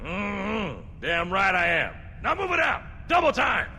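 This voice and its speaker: An actor playing a brash, profane Marine sergeant who barks rousing orders in a military game cutscene. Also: male voice, high voice, wildly swooping pitch, measured pace, vocal fry, over a noisy crowd, heavy background noise, full character voice. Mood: gruff, commanding. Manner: barking drill-sergeant delivery. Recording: loud music behind.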